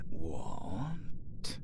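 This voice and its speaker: A British man voicing a skeletal undead necromancer in an audio roleplay, a vengeful, flirtatious villain with a creaky, melodramatic delivery that moves between hushed and screamed. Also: very deep voice, heavy background noise, exaggerated voice. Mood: teasing, wistful. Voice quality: creaky, breaking into shrieks and whispers. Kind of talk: audio roleplay acting, ASMR-leaning.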